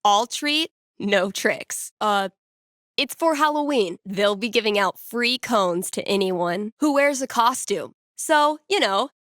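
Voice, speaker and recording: A woman's voice: medium pitch, animated, slow, fairly bright tone, dry recording, clean studio sound. A woman playing a witty young small-town radio DJ in a video game, with an American accent and a playful, conversational delivery. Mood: playful, upbeat. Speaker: radio host. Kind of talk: casual local radio announcements, video game voice acting.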